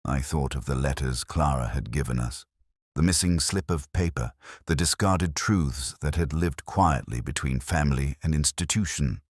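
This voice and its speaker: A man playing a melancholy older detective inspector, narrating case notes in a measured, reflective, literary way. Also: a bass voice, husky, no echo, studio quality. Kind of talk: scripted first-person literary narration.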